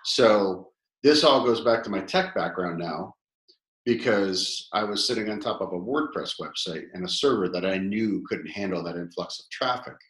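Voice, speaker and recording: Deep-voiced man in his fifties, roomy sound. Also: moderate pace. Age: 50s. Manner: animated, rambling storyteller with many fillers. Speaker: guest, toy company founder. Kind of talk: casual interview storytelling. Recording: echoey room.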